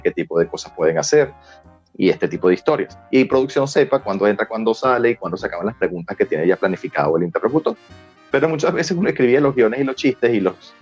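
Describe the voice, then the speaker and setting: A man's voice: lowish voice, husky, highs cut off. A man with a Venezuelan accent giving conversational, explanatory answers as an interview guest.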